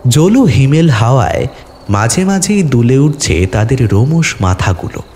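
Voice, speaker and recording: A man narrating a scripted, atmospheric first-person story in a deliberate, literary, expressive manner. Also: mid-range voice, a little hiss or hum.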